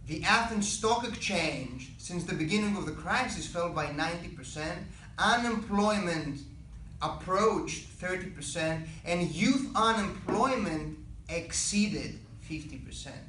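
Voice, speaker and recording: A man's voice: sits high, expressive, fairly bright tone. Earnest young man in an echoey hall. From Greece. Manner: earnest, measured, emphatic on key phrases. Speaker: student speaker. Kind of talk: prepared public speech. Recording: echoey room, speaker far from mic, faint background noise.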